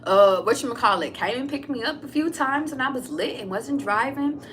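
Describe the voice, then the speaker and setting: A fairly high-voiced woman whose pitch swings widely as she talks. She is a tarot reader chatting with her viewers, blunt and candid, swearing freely. A faint hum of background noise sits under her voice. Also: lively intonation, normal speed, fairly bright tone. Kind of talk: casual personal storytelling to viewers.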